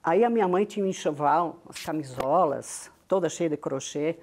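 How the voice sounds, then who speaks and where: An older woman with a strong Italian Veneto-flavored Brazilian accent speaks in a low, mellow voice. She talks in an unhurried, reflective way, proud of where she comes from. She reminisces as an interview guest. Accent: Italian Veneto-influenced Brazilian Portuguese. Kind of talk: reminiscing storytelling.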